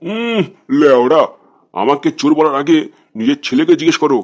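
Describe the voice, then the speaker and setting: A man playing a gruff, defiant neighbourhood fellow in a comedy cartoon, answering curtly. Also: on the high side, expressive, normal speed, muffled recording, natural voice.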